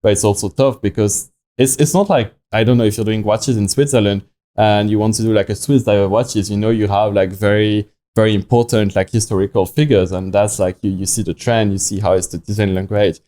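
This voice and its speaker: A young man from France with a French accent, a watch company founder talking in a podcast interview, halting and full of restarts and fillers. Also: on the low side, fairly even intonation, warm tone.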